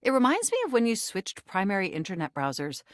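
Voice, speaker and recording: A nerdy, flirtatious personal computer come to life, acted by a woman for a video game. Her mid-pitched voice rises and dips in a very lively way. She talks in precise, formal, technical phrases, eager and easily flustered, in a dry studio sound. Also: fairly quick, dry recording, lightly acted. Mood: formal, reserved. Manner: formal, wordy, technical vocabulary. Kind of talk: scripted video game voice acting.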